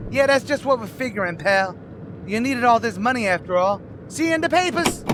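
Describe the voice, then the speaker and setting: A man's voice: very high voice, lively intonation, a little fast, noisy background, pitched above the performer's own voice. A man voicing a slick, menacing bank robber in an old-time radio drama, slangy and pushy.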